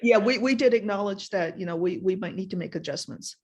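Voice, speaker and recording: A woman's voice: medium pitch, fairly quick, crowd noise. Hesitant woman chairing a meeting. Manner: hesitant, repeats words while thinking. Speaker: committee chair.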